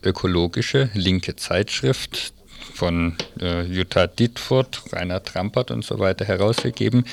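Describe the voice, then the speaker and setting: A man presents a magazine review on the radio in a low voice with plenty of creak. He talks informally and haltingly, often feeling for the next word. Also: no room sound.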